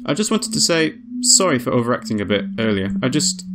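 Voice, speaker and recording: A man's voice: mid-range voice, sing-song, a little fast, noisy background, lightly acted. A man voicing a guarded woman inventor in a visual novel, caring but evasive and terse. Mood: guarded, insistent.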